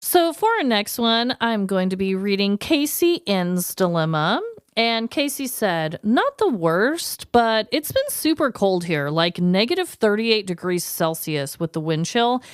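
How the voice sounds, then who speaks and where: A woman co-hosting a podcast, chatting casually and reading listener stories in an expressive, gushing, slangy way. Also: mid-range voice, unhurried, smooth, dry recording, studio quality. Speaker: podcast co-host.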